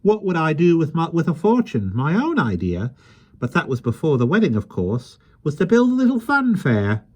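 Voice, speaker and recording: A man's voice: a little high, huge pitch swings, moderate pace, a little mellow, pitched above the performer's own voice. A man reading a storybook aloud, voicing a cheerful, chatty little carpenter with quaint old-fashioned sayings.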